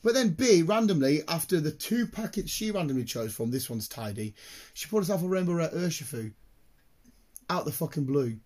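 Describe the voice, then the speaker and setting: Excitable, slightly croaky British man. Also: on the high side, brisk. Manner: excitable, rambling, sweary. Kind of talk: casual chat, rambling anecdotes.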